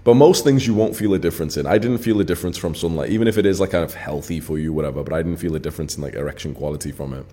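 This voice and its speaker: A young man in his twenties talks to his channel's audience in a deep, mellow voice. He is blunt and emphatic, and he swears casually. His speech runs on loose fillers in an off-the-cuff monologue. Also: racing, few pauses. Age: 20s. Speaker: channel host. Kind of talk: casual straight-to-camera monologue.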